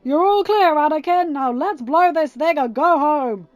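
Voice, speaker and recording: A woman voicing a cocky, exuberant male rogue pilot calling out loudly in a space adventure story. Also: high voice, measured pace, no room sound, faint music behind, pitched above the performer's own voice. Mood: triumphant. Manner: loud, exuberant.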